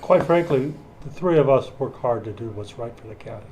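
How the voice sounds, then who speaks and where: A man's voice: medium pitch, expressive, brisk, crowd noise, low-quality recording. A man serving as county commissioner, speaking plainly at a public meeting, repeating words as he goes.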